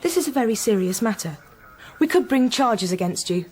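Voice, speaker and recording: An officious policewoman in a radio play. Her voice is fairly high and swoops with disapproval. She is brisk, formal and reproving. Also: sing-song, darkish tone. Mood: stern. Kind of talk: radio drama acting.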